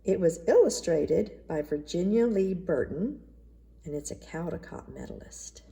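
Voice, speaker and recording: A woman reading a children's story aloud in an expressive, measured narrating style. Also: on the low side, vocal fry. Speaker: storybook reader.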